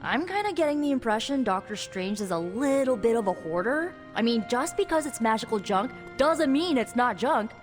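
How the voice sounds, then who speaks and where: A woman's voice: fairly high pitch, animated, moderate pace, hardly any pauses, lightly acted. A woman playing a young sardonic sorceress in a video game, with an American accent and a casual, teasing, talkative manner. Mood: playful. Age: young adult. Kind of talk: video game voice acting, conversational. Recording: background music under the dialogue.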